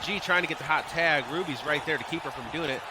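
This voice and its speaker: A man's voice: fairly high pitch, fast, heavy background noise. A man doing informal live play-by-play of a wrestling game, talking through his slips, his voice starting to give out. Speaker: e-fed wrestling streamer and commentator. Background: wrestling game crowd.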